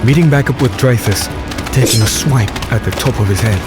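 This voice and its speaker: Defiant swordsman hero, male actor, medium register, intense dark fantasy audio drama delivery, prominent score behind. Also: medium pitch, fairly quick, close to an everyday voice. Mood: tense narration. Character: defiant young swordsman hero narrating his battle. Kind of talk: dramatic first-person narration and dialogue, fantasy audio drama. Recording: music behind the voice.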